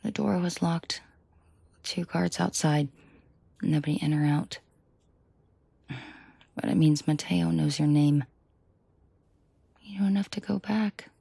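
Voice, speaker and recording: A woman playing a commanding, protective and seductive mafia boss in an intimate audio roleplay, speaking in a breathy, controlled murmur that often drops to a half-whisper. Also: lowish voice, warm tone, dry recording, full character voice. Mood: low menace. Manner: slow, deliberate, controlled murmur addressed closely to listener.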